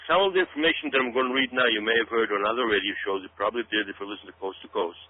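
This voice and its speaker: A man's voice: on the low side, husky. A man giving radio commentary straight to his listeners, speaking emphatically and dramatically, repeating himself and often checking in with "okay?". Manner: dramatic, hyping build-up. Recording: tinny phone-like sound with hum.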